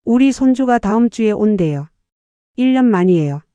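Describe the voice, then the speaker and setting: A man's voice: sits high, expressive, moderate pace, warm tone, smooth, no echo, highs cut off, voice pushed up. A man voicing a kind, humble old woman who collects scrap paper, speaking gratefully.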